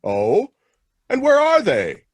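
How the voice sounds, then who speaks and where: A man's voice: high voice, huge pitch swings, slow pace, no echo, clean studio sound, full character voice. A man playing a jolly, kindly old Santa Claus talking to a child in an old-time radio drama.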